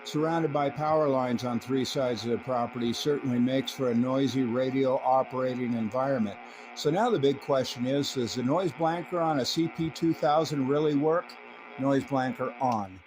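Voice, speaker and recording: Older man, relaxed technical radio talk. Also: mid-range voice, normal speed, background noise. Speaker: radio reviewer and CB operator. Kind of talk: technical review narration and on-air radio chat. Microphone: stock desk microphone on the radio.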